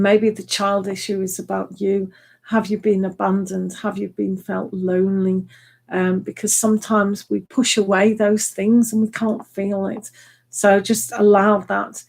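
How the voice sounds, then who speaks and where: A woman reading tarot cards live for viewers, chatty and full of ums, her voice hoarse from hours of talking. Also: mid-range voice, darkish tone. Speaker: tarot reader.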